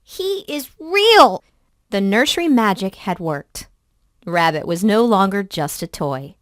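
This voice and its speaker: A woman reading a children's story aloud in an expressive, deliberate storybook manner. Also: mid-range voice, no echo, clean studio sound.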